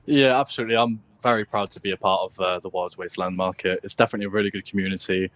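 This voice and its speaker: A man's voice: deep voice, fairly even intonation, very fast, fairly bright tone. A young man with a British accent, giving casual answers as a podcast guest. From United Kingdom. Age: young adult. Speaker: podcast guest, online content creator.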